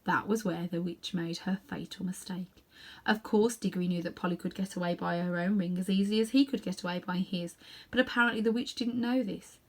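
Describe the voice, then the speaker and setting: A woman narrating a children's novel as an expressive read-aloud storyteller. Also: on the low side, brisk. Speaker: storybook reader.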